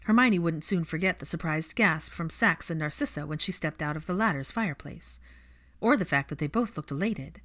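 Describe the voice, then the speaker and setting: A woman's voice: low voice, brisk, fairly bright tone. A woman reading fiction aloud as an audiobook narrator, in scripted storytelling style.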